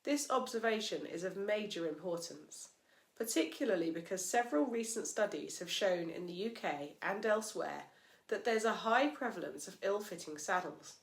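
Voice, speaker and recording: A woman's voice: mid-range voice, lively intonation, moderate pace, creaky. Woman reading aloud in an echoey room. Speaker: host and narrator, physiotherapist. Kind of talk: scripted narration, read aloud. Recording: echoey, roomy sound.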